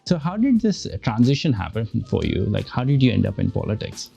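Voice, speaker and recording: An earnest man hosts an interview show, welcoming the guest and asking about her career. His voice sits at a middle pitch. Faint music plays behind him. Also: wildly swooping pitch, brisk, creaky, mellow, breathy edge. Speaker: host. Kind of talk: interview show intro and questions.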